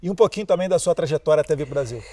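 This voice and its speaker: A man's voice: on the high side, rapid-fire, noisy background. A man hosting an interview show, asking questions with friendly, joking interjections. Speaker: host.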